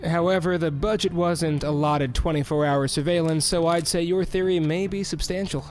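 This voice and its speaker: An actor playing a male mayor who dodges questions with hesitant, smooth talk. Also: on the high side, steady tone, normal speed, soft background music, close to an everyday voice. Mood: evasive. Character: evasive middle-aged male mayor. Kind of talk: audio drama acting.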